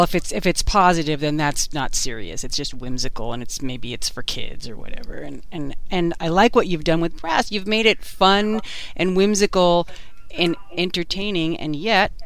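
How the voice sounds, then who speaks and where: Interview co-host, medium pitch, casual, halting, complimentary delivery. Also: fairly bright tone.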